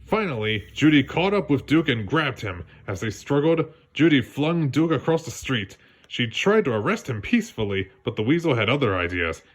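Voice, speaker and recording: A man narrating a children's read-along story in a lively storytelling manner. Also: mid-range voice, moderate pace, breathy edge. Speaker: storybook reader.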